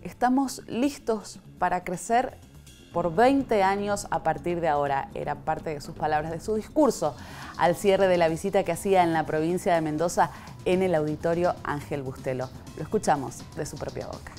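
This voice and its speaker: A young woman reading the news in a formal, measured way in a TV studio. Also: fairly low pitch, darkish tone, faint music behind. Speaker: news anchor.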